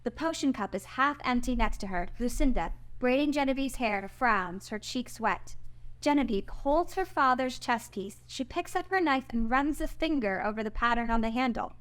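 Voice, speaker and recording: High-pitched woman narrating a script. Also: lively intonation, normal speed, a bit bright. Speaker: narrator reading stage directions. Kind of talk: scripted narration at a live screenplay table read.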